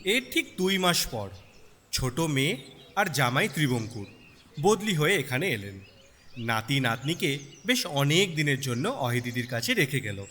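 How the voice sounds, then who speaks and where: Lively bright-voiced man telling a story. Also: mid-range voice, measured pace. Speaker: story narrator. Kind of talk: dramatic audio storytelling narration. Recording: long reverb, background night insect noise.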